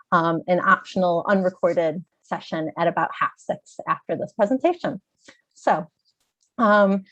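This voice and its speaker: An American woman with a Chicago accent presents a webinar. She sounds a little congested, clearing her throat now and then, and speaks in a clear, organized way. The audio is muffled and dry, like a video call. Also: mid-range voice, no room sound. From Chicago, USA. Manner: clear, organized, with frequent "um" fillers. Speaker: webinar presenter, education advisor.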